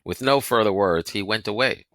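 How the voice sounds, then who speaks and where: A man's voice: on the low side, normal speed, fairly bright tone, dry recording. A man reading a novel aloud as a dramatic, scripted narration. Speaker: audiobook reader.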